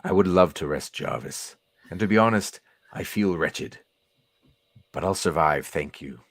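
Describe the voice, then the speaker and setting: A man playing a weary, low-voiced professor. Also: no echo. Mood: weary, polite. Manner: formal, measured diction. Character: weary, dignified male telepath professor, formal and grave. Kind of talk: comic book read aloud in character.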